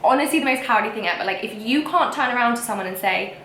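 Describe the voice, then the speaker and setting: A woman's voice: fairly high pitch, animated, quick pace, fairly bright tone, reverberant, slight background noise. A young woman with a whiny voice, ranting frankly to camera in a casual vlog. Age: young adult. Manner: emphatic and frank, with frequent fillers. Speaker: vlogger.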